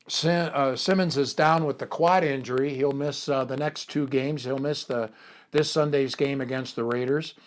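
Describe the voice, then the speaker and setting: A middle-aged man hosting a sports talk show, rambling easily through his points with plenty of fillers and false starts. His voice sits at an ordinary middle pitch. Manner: chatty, many fillers and restarts. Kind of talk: casual sports talk and interview.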